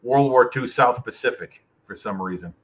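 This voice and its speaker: A man's voice: mid-range voice, moderate pace, crowd noise. A man chatting on a casual live stream call, friendly and full of questions, greeting others as they join. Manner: friendly, asks questions, greets people joining.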